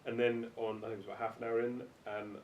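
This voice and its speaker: A man's voice: level tone, fast, lo-fi sound, a crowd in the background. A man with a Scottish accent chatting casually and sardonically about games, with firm opinions. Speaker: podcast panellist. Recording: echoey room, faint rumbling noise.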